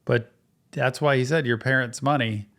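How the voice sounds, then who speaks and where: A man's voice: mid-range voice, fairly even intonation, normal speed, fairly bright tone. Thoughtful man doing casual reaction commentary. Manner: thoughtful, analytical. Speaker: psychotherapist reacting to a show.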